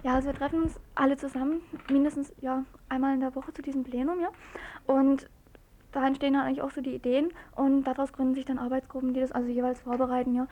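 A woman's voice: sits high, flat delivery, quick pace, warm tone. A young woman, a student activist, answering informally in an interview and often tagging her phrases.

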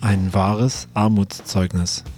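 A man's voice: lowish voice, steady tone, slow, over loud music. A man hosting a morning radio show in an informal live style.

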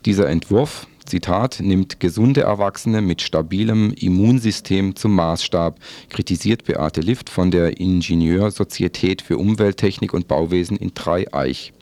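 A man with a very low, mellow voice presents a radio magazine in a dry, close studio sound. He explains step by step, a little haltingly, often rephrasing what he has just read. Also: no room sound.

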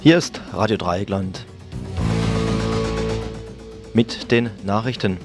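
Slow male announcer over loud guitar music. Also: lowish voice. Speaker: radio station announcer. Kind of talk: news jingle and headline announcement.

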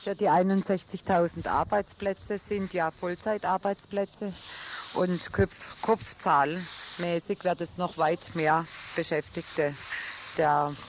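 A woman's voice: on the low side, fairly even intonation, slow. A woman answering interview questions as a union representative, in a noisy recording. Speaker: works group chairwoman.

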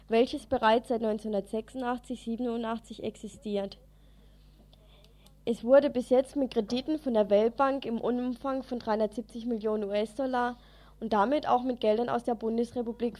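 A woman's voice: fairly high pitch, darkish tone. A woman reporter reading a scripted news report for radio.

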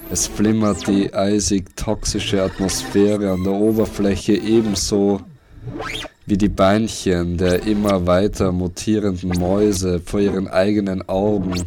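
A man narrates a bleak post-apocalyptic radio play in a very low, level voice. His phrasing is deliberate and broken, circling back on words, with faint music underneath. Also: flat delivery.

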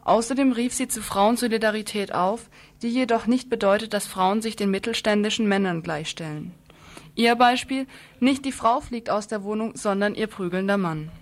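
A woman's voice: mid-range voice, moderate pace. A woman presenting a scripted radio news report in an earnest manner, now and then stumbling over a word and starting again.

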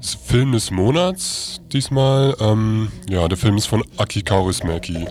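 A man presenting on radio, reading news and event listings in an informal, halting way. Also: on the low side. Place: radio studio. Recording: music playing under the voice. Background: loud music.

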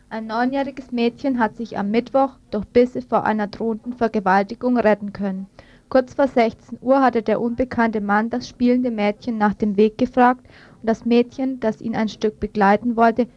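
A woman's voice: on the high side, a little mellow, lo-fi sound. A woman radio presenter reading news reports from a script in a studio.

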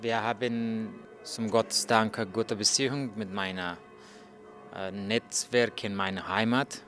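A man, telling his story as a journalist in halting speech full of fillers and restarts. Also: fairly low pitch, steady tone, fairly bright tone, faint music behind. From Afghanistan. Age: 30s. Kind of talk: hesitant interview answers in learner German.

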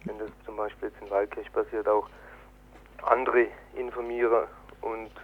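A man's voice: lowish voice, little pitch movement. Tinny phone voice, man. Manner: halting, broken phrasing. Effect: telephone. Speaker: political activist interviewee. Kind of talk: spontaneous phone interview. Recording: tinny band-limited phone line.

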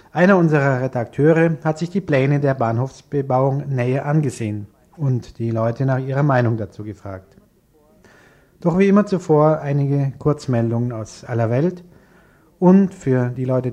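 A man's voice: mid-range voice, dark tone. A male presenter reads the news on radio from a studio. His delivery is scripted, with a mid-range voice suited to bulletins and announcements.

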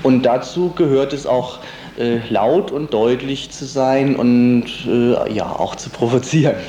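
Guy in a radio interview, soft dark voice, hesitates a lot, roomy sound w/ some faint noise. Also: mid-range voice. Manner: halting, searching for words, many hesitations. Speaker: interviewed political organizer.

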